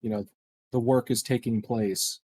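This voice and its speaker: A man's voice: medium pitch, fairly even intonation, measured pace, a little mellow, slightly rough, dry recording, studio quality. A man playing a tabletop game, talking casually and hesitantly as he works out his move.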